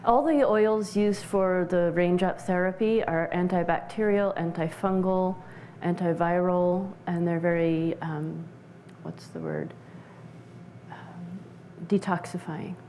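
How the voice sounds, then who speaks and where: A woman giving explanatory interview answers as a practitioner, measured and halting as she searches for words. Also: on the low side, lively intonation, dark tone, a little hiss or hum. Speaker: interview guest, essential oils practitioner.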